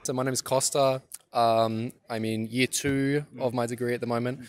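A man's voice: medium pitch, flat delivery, normal speed, dry recording. A young man with an Australian accent, a university student giving brief answers outdoors on campus. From Adelaide, Australia. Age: young adult. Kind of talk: street interview answers.

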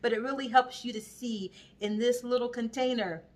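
A woman's voice: a little high, fairly even intonation, moderate pace, a little mellow. A woman hosting a cooking vlog from her home kitchen, chatting upbeat with viewers and checking in with "okay?" and "you guys". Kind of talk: casual how-to chat to viewers.

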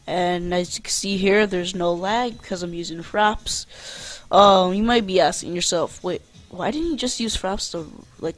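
Gaming tutorial host, mid-pitched voice, slow pace, informal, rambling, casual instructions. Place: indoors at a computer.

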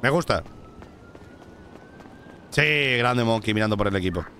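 A man's voice: on the low side, unhurried, slight background noise. A young man with a Spanish accent from Spain, streaming a crime roleplay game and chatting to his viewers in a loose, swearing way. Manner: animated, swearing, jumps between in-game talk and asides to viewers. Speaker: streamer roleplaying a bank robber. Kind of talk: casual gaming roleplay chat.

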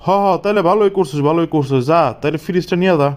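Casting: easygoing village shop seller male actor, high clean voice, rural Bangladeshi accent, slick colloquial cartoon patter. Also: rapid-fire, lightly acted. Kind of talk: comic Bengali cartoon dialogue.

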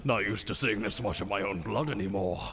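A man's voice: lowish voice, a little fast, fairly bright tone. A man voicing a cocky, bloodthirsty vampire who is taunting and sardonic in a comedic anime parody dub. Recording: music playing behind.

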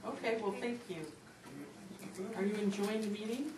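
Brisk woman chairing, distant and echoey. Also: medium pitch. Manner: brisk, friendly, calling on speakers. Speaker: meeting chair. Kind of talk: chairing a board meeting. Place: large conference room. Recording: distant mic, echoey room, background noise.

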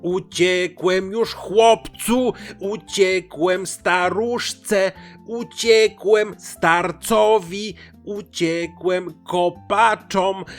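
A man plays a small, cheeky pancake from a children's fairy tale. The voice is pushed high and loud, bragging in an insolent sing-song chant. Music plays underneath. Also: creaky, heavily acted.

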